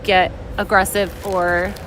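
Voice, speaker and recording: A woman live streamer talks casually outdoors in a theme park, with clear ambient noise around her. Her pitch is middling, and she is playful and teasing, often interrupting herself mid-thought as she replies to her chat. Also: little pitch movement, takes it slowly. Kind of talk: casual chatty livestream talk.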